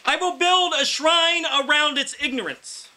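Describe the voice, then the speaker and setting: High, forceful man declaiming poetry. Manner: emphatic, shouted delivery in drawn-out phrases. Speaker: poet, featured reader. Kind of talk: loud declamatory poetry reading. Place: indoor live poetry reading in a small venue.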